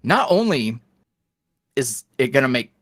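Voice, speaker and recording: A man's voice: mid-range voice, very animated, unhurried, a bit bright, dry recording, clean studio sound. A young man talking casually as a podcast guest, rambling with lots of fillers and restarts. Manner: rambling, filler-heavy, self-reflective. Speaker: podcast guest, roleplay creator. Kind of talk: casual interview chat.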